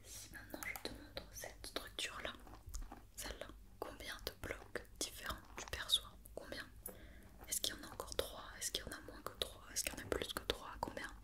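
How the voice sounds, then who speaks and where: A woman doing a whispered ASMR roleplay as a doctor, patiently and gently repeating questions and reassurances. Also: moderate pace.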